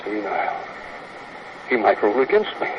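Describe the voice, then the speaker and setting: An actor playing a stubborn, wry elderly millionaire in a 1950s radio drama. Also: male voice, on the low side, big pitch swings, normal speed. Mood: uneasy. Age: older. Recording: noisy, dull old radio recording.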